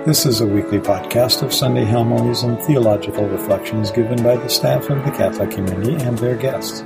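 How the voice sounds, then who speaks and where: A man's voice: medium pitch, a little fast, creaky. A man reading a scripted podcast introduction as the announcer. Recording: loud guitar music underneath. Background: guitar music.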